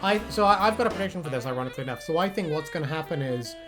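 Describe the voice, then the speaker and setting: A man's voice: fairly high pitch, animated, very fast, over music. A man hosting a casual fan discussion, chatting in a rambling, sweary way. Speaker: video host.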